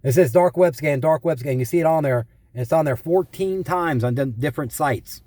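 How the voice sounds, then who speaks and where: A man's voice: fairly high pitch, a little fast, dark tone, no echo. A man talking casually as a caller, telling anecdotes in an exasperated, repetitive way and quoting past exchanges. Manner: repeats himself, quotes past exchanges, exasperated complaining. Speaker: caller reporting identity theft and scam calls.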